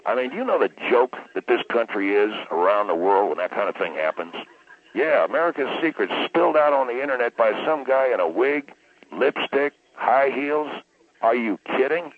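A man playing a blustering, opinionated patriotic caller on a comic radio show, emphatic and repetitive, with a husky voice. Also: mid-range voice, expressive, moderate pace, pitched below the performer's own voice. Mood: indignant. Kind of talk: comic radio call-in rant. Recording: muffled, tinny phone line.

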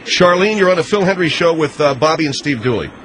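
A man hosting a talk radio call-in show, briskly moving calls along. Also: on the high side, lively intonation, a bit bright. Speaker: radio host. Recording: hissy radio broadcast.

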